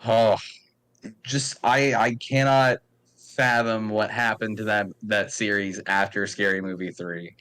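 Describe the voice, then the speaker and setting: A man's voice: fairly low pitch, steady tone, measured pace, a bit bright, smooth. A man chatting casually and playfully as a podcast co-host, with some swearing. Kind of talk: casual, joking chat.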